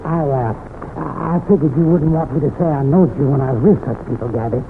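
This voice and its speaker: An actor playing a shiftless, kindly country cousin in an old-time radio western, talking in a hesitant, folksy rustic drawl. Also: male voice, mid-range voice, a little fast, natural voice. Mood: friendly, sheepish. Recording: tinny, noisy old radio recording.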